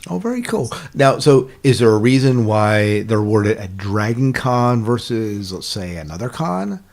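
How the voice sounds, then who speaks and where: A man hosting a podcast, interviewing a guest in a casual, somewhat halting way with repeated words. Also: fairly low pitch, very animated, vocal fry, darkish tone. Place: remote call recording.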